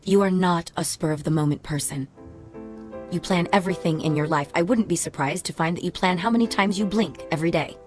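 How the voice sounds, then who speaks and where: Actress as lowish-voiced, sardonic, guarded ex-wife. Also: female voice, brisk, natural voice. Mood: sarcastic. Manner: sardonic, clipped, reproachful. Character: bitter, guarded, sarcastic ex-wife, no-nonsense woman. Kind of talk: radio drama acting. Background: faint background music.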